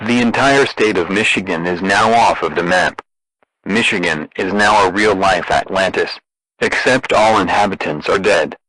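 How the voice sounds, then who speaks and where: A man reading a scripted emergency broadcast as an announcer, in a formal, deadpan manner. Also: fairly low pitch, no room sound, poor audio.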